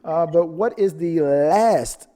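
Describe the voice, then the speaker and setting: A man hosts a casual poll discussion in a slightly high, mellow voice. He rambles and doubles back on his words. The sound carries a long hall-like reverb. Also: takes it slowly. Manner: rambling, repeats words and phrases. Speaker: host of a panel show. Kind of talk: casual chat about audience polls.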